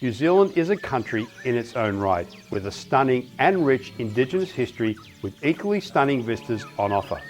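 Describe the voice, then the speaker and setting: A man's voice: medium pitch, sing-song, brisk, few pauses, faint music behind. A man with an Australian accent presenting a travel talk, making wry, joking asides. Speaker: destination expert presenter. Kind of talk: presentation with light humor.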